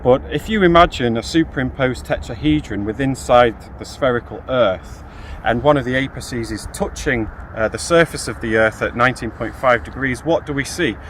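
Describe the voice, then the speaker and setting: A man's voice: mid-range voice, moderate pace, fairly bright tone. A man in his thirties giving an informal, explanatory lecture outdoors to camera, posing questions and answering them. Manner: explanatory, poses rhetorical questions. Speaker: field geologist giving an explanation. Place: outdoors in a grassy field. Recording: outdoor recording with clear ambient noise.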